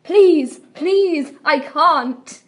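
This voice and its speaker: Girl reading as a timid young guy, high voice, halting n pleading, fanfic drama.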